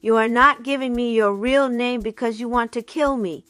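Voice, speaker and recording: A prophetic old sorceress, raised and urgent as she gives her orders, as a female storyteller puts her on. Her voice sits noticeably higher than the teller's own and carries a commanding edge. Soft music plays faintly underneath. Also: moderate pace, dry recording, lightly acted.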